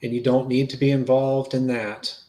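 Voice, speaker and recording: A man playing a plain-spoken, gently probing and reassuring investigator in a dramatic reading of a police interview. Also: medium pitch, flat delivery, normal speed, close to an everyday voice.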